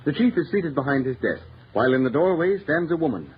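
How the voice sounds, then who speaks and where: An actor playing a grave, declamatory narrator of a lurid 1930s radio mystery. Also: male voice, on the high side, animated, fast talker, natural voice. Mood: grave, dramatic. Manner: dramatic, declamatory. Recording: muffled, noisy 1930s radio recording.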